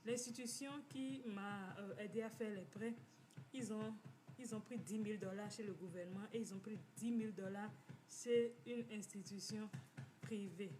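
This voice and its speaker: A woman talks at a middle pitch and tells her own story. She stops and restarts often and repeats phrases as she goes. A faint hiss of noise sits behind her voice. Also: steady tone. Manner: repetitive, halting with many restarts. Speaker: community finance speaker. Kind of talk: personal testimony talk. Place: living in the United States.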